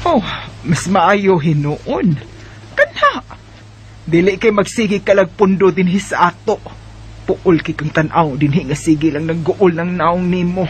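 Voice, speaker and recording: A man's voice: sits high, expressive, a little slow, a little hiss or hum. A man voicing a scolding but caring mother in a Bisaya radio drama, with a Filipino Bisaya accent. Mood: nagging, concerned.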